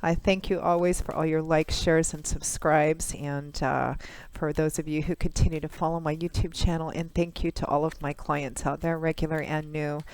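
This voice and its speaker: A woman tarot reader, hoarse from a cold, talks through a monthly reading in a measured, conversational way with frequent pauses and fillers. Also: deep voice, steady tone, a little mellow. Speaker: tarot reader, channel host.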